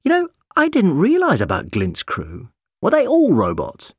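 A man voicing a kindly, inquisitive doctor who gently draws people out, in an audiobook adventure. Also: high-pitched, wildly swooping pitch, moderate pace, husky, dry recording, studio quality, pitched above the performer's own voice, exaggerated voice. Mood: curious. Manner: probing, gently engaging.

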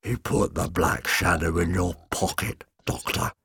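A man voicing a huge clawed beast-man who speaks low, slow and regretful. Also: flat delivery, vocal fry, a bit bright, husky, no room sound, clean studio sound, full character voice. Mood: apologetic.

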